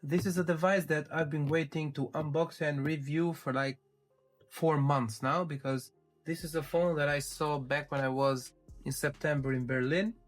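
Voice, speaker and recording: A man presenting a casual tech unboxing, explaining things step by step with small hesitant pauses. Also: fairly high pitch, fairly even intonation, soft background music. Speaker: tech reviewer presenting his channel.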